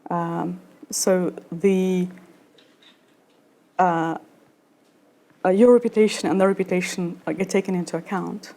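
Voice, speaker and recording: A woman in her thirties giving a conference talk and answering questions, halting with many fillers and restarts. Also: lowish voice, steady tone, warm tone. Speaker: conference speaker. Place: lecture room at a conference.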